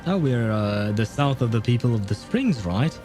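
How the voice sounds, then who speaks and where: A man's voice: medium pitch, animated, moderate pace. A man livestreaming a game in casual chat, rambling and hesitant as he thinks aloud. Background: game music.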